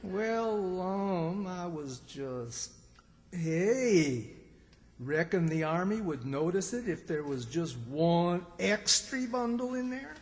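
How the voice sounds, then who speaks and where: A man's voice: high-pitched, big pitch swings, slow, exaggerated voice. A man playing a flustered, folksy older country character with a rural Southern American accent in an old-time radio comedy. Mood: worried. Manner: folksy, fretful, exclaiming. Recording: stage performance in reverberant hall.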